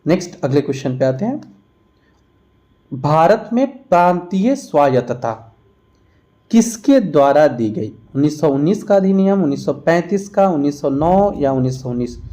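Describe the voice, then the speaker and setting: A man's voice: fairly high pitch, big pitch swings, moderate pace, warm tone. A man teaching an exam revision lesson, reading out questions and explaining each answer. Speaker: teacher for competitive exam preparation.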